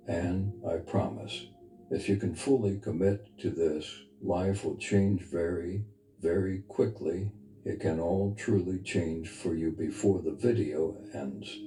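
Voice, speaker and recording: A man leading a guided meditation as a spiritual teacher, speaking in a soft, intimate, earnest and unhurried way. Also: low-pitched. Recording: reverberant voice over background music. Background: music.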